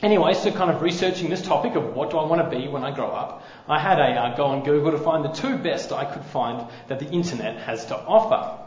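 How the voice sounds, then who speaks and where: A man with a loud voice preaching a sermon, relaxed and self-deprecating. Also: on the high side, fairly quick, hardly any pauses, slight background noise. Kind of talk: wedding-day sermon. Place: church hall. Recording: echoey hall, slightly dull sound.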